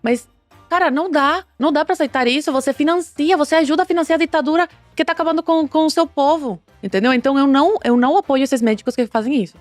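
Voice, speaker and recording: A woman's voice: sits high, fast, no echo, soft background music. Young Cuban-born woman, insistent guest, dry close sound. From Cuba. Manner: emphatic, insistent, often restarts. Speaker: guest, Cuban student who streams. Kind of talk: casual panel discussion.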